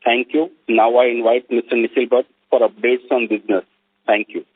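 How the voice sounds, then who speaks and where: A man's voice: mid-range voice, flat delivery, fairly quick, breathy edge. A man with an Indian accent formally reading out financial results in short phrases on an earnings call, as a company finance executive. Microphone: telephone conference call. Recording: narrowband, tinny phone line.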